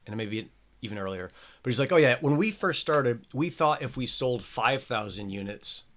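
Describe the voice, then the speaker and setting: A man's voice: medium pitch, expressive, normal speed, a bit bright. A man hosting a casual podcast chat, rambling and chatty with plenty of filler words. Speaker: show host.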